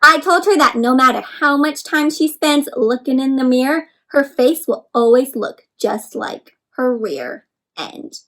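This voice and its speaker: A woman reading a picture book aloud for children in an animated, encouraging way, addressing them directly with questions and simple explanations. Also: high-pitched, a little slow. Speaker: read-aloud storyteller for children.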